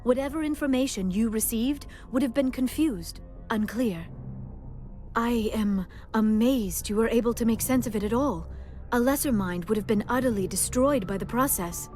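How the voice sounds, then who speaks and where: Woman playing a high-voiced, formal young alien scientist. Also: normal speed, a little mellow, soft background music, close to an everyday voice. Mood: earnest. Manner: formal, precise speech without contractions. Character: young female alien scientist, earnest, polite, bookish. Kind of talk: video game dialogue acting.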